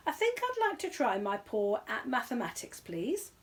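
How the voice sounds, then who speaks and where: Woman voicing a polite, high-pitched storybook bear. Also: huge pitch swings. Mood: earnest, firm. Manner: polite, earnest, deliberate. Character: polite, earnest young male bear, firm and literal.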